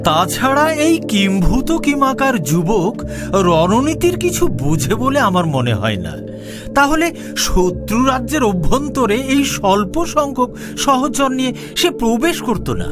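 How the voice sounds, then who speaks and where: A man plays a boastful cartoon army commander who mocks the enemy. His voice is very high and swings widely as he talks. Loud music runs beneath it. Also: very animated, a little slow, heavily acted. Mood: scornful. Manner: proud, scornful. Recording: voice over loud background music.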